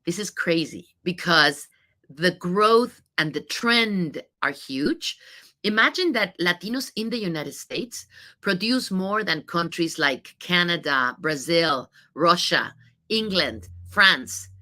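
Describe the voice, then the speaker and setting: A woman's voice: fairly low pitch, animated, takes it slowly, sharp tone. A middle-aged woman from Mexico with a Mexican Spanish accent, giving an informal talk full of statistics, stressing her points and often adding "you know" and "right?". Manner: emphatic, repeats key points, frequent "you know" and "right?". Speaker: think tank CEO speaker. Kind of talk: informal presentation with data.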